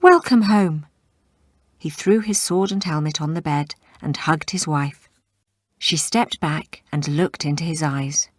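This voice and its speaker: A woman's voice: sits low, a little slow, no echo, studio quality. A woman reading a story aloud as a scripted read-along narrator.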